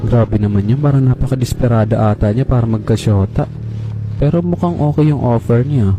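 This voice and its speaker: A man's voice: mid-range voice, fairly quick. A man, a motorcycle vlogger, speaking politely and hesitantly in an acted comic phone-call skit. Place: outdoors on a motorcycle. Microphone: helmet microphone. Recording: clear motorcycle and road noise.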